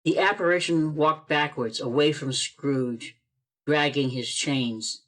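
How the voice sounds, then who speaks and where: A man's voice: on the high side, slow, fairly bright tone, poor audio. A man narrating a radio play as a scripted storyteller.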